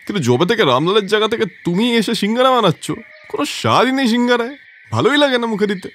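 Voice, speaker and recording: A man's voice: high-pitched, very animated, rapid-fire, a bit bright, a little hiss or hum, voice pushed up. Man as a raised-voice grumbling customer. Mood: complaining. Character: blunt grumbling male snack customer. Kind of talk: cartoon story voice acting.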